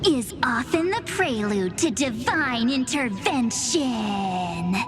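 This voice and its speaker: A woman's voice: fairly high pitch, expressive, slow. An actress playing a young woman who speaks theatrically, like a divine chronicler, in a video game. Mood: grandly ominous. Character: young woman playfully posing as a solemn, ominous divine chronicler. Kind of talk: English video game voice acting. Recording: music behind the voice.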